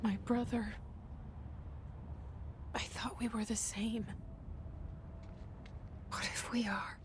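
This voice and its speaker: A guarded young woman thinks to herself in a video game. Her delivery is slow, hushed and brooding, often slipping toward a whisper. A clear ambient noise sits behind her. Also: on the high side, level tone. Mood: searching, hurt. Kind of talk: video game inner monologue.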